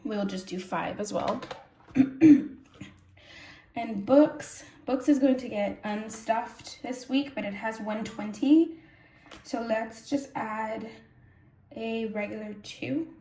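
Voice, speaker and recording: A woman's voice: on the high side, slow pace, pauses often, warm tone. A congested, sniffly woman hosting a budgeting vlog, chatting casually and correcting herself as she thinks aloud. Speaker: budgeting channel host. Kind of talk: casual cash-stuffing walkthrough. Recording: echoey room, faint noise.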